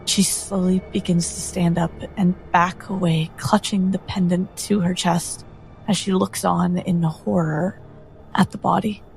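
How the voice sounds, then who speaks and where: A woman narrating her character's actions as a player in a tabletop game, measured and grave. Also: fairly low pitch, fairly even intonation, creaky, poor audio. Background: faint music.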